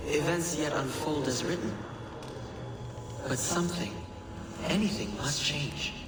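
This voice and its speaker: An actor playing a solemn messenger of an ancient lost civilization in a video game, speaking in grave, cryptic, measured oration. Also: male voice, close to an everyday voice. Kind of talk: dramatic scripted game monologue. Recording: echoey voice over loud ambient rumble.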